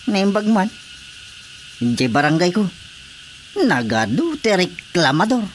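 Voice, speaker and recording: A man's voice: sits high, very animated, takes it slowly, a little hiss or hum, exaggerated voice. A man with an Ilocano accent playing an authoritative village captain in a radio drama comedy. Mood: businesslike. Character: male village captain, matter-of-fact and authoritative.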